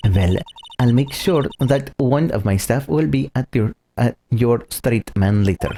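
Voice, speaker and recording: A man's voice: mid-range voice, animated, unhurried, mellow, a little hiss or hum. A man voicing an earnest young defense lawyer in a courtroom video game, hesitant and a little wry. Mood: earnest, polite. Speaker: streamer.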